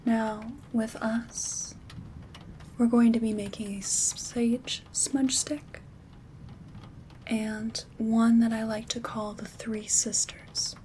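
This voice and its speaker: A woman playing a gentle, caring witch herbalist in an ASMR roleplay, soft-spoken and often whispering in a soothing, intimate way. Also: fairly high pitch, flat delivery, slow. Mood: calm, caring. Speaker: ASMR performer. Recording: soft rain and handling noise. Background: rain sounds, craft rustling.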